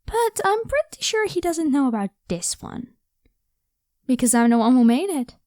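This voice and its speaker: A woman's voice: high-pitched, big pitch swings, normal speed, a little mellow, no room sound, studio quality. A woman playing a caring, protective young vampire in an ASMR audio roleplay, talking casually and reassuringly. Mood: playful, secretive.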